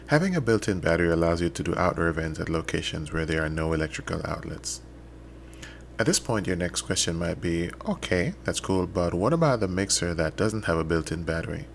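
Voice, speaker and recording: A man with a low-pitched voice narrates a technical tutorial. He explains things methodically, step by step, in the manner of someone reading prepared instructions. Noticeable background noise runs under the recording. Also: expressive, fairly quick, gravelly.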